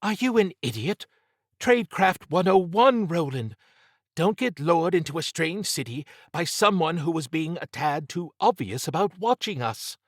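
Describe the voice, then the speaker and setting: A man plays a sharp-tongued woman spy in an audiobook, lifted in pitch. She is brisk and exasperated, with a clipped, scolding delivery, recorded close and dry. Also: dry recording, close to an everyday voice. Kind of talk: audiobook character dialogue.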